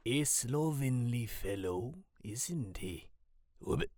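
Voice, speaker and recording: A man voicing a wary, haughty amphibian companion in a fantasy audiobook, speaking in a fussy, measured way. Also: medium pitch, expressive, darkish tone, no echo, clean studio sound. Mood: disdainful whisper. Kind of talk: audiobook character acting.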